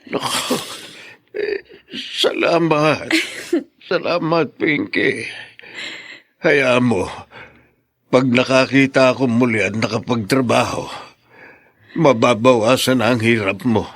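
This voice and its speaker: A tender, ailing Filipino father in a radio drama. He speaks slowly at a middle pitch, with halting, plaintive gratitude. The sound is dry and close. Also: fairly bright tone, no room sound. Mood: grateful, hopeful. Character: gentle, grateful injured father, middle-aged or older.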